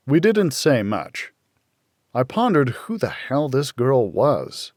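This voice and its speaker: A man's voice: mid-range voice, darkish tone, dry recording, studio quality. An American man reading scripted first-person noir thriller narration as an audiobook narrator.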